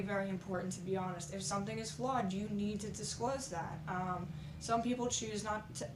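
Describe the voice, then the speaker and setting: A woman talks through selling tips in a frank, practical, chatty way, her voice a little raspy as she gets over an illness. She speaks at a mid pitch from an echoey room at home, with a faint hiss underneath. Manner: frank, practical, conversational with fillers. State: slightly raspy, recovering from illness. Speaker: online reseller giving tips. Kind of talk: casual tips vlog.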